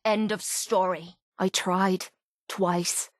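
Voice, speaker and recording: A woman's voice: fairly low pitch, steady tone, takes it slowly, no echo, studio quality. An actress voicing a tough, foul-mouthed young Irish woman, a bitter and wounded fighter in a video game, with a brash, blunt manner. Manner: brash, blunt, swearing, slangy. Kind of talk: video game character voice acting.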